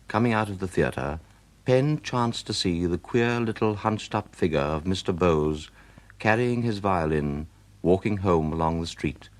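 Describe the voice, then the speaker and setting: A man with an English accent giving measured, scripted literary narration for a period radio drama. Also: deep voice. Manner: measured storytelling.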